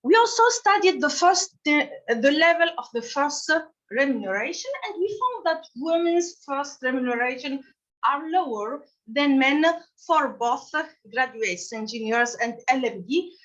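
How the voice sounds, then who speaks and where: A woman with a Tunisian accent giving an academic conference presentation in a halting, hesitant way. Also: sits high, expressive, fairly bright tone, lo-fi sound. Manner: halting, frequent hesitations mid-phrase. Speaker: conference presenter and session co-chair.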